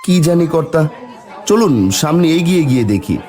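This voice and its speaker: A man's voice: fairly high pitch, expressive, brisk, dark tone, some chatter behind, lightly acted. A man voicing an earnest, humble village man in a cartoon. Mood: cheerful. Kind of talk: Bengali cartoon voice acting.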